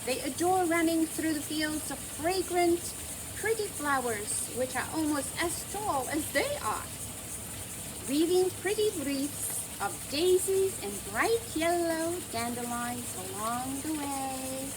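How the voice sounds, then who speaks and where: A woman reading a children's storybook aloud in a gentle, theatrical, emphatic way, as a storyteller. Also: sits high, animated, slow pace, background noise. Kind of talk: expressive scripted storybook narration.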